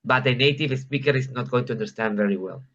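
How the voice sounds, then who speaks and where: A man's voice: mid-range voice, fast talker, fairly bright tone. Peruvian Spanish-accented man, lo-fi sound. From Peru. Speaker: club member. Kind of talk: casual conversation practice.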